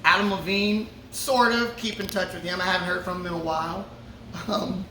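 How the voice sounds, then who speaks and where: A man, a musician, answering fan questions in a relaxed, joking way with lots of fillers. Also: high-pitched, moderate pace. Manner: laid-back, joking, many fillers. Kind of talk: casual Q&A chat. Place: brewery before a show. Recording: roomy echo, from a distance, ambient noise.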